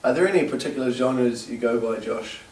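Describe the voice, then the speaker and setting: A man interviewing his friend, reading steady prepared questions. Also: medium pitch, a little fast. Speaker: interviewer, friend nominating the guest. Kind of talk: semi-scripted interview questions. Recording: distant roomy camera sound.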